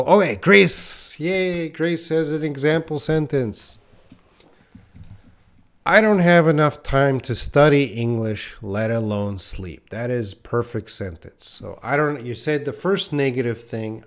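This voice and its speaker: A man in his thirties from Western Canada with a light Canadian accent, patiently answering viewers' questions in a live English lesson. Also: medium pitch. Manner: slow, patient explaining with many pauses. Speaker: English teacher. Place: small indoor room by a whiteboard.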